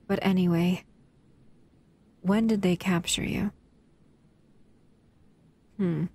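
Female humanoid monster, played by a woman for audio roleplay: bored, teasing predator, unhurried delivery, somewhat low pitch. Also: fairly even intonation, darkish tone, full character voice. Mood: aloof, curious. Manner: languid, teasing, menacing. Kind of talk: slow audio roleplay to the listener.

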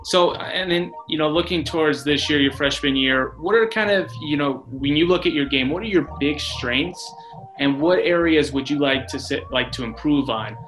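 A man's voice: a little high, normal speed, a bit bright, poor audio. An American man in his thirties doing a casual sports interview as a radio announcer over a video call. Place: small office, indoors, on video call. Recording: video call with music underneath. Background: music.

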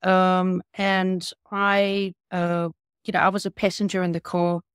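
A middle-aged woman with a South African accent, telling her story as an interview guest over a video call, with many hesitant pauses. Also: on the low side, monotone, clean tone, no room sound, studio quality. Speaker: guest, patient advocate. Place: home room.

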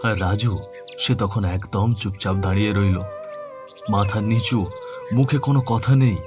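A man tells a horror tale at a slow, deliberate pace. His delivery is dramatic and suspenseful, and clear music runs underneath. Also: fairly low pitch, steady tone. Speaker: narrator. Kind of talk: dramatic horror storytelling narration. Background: background music.

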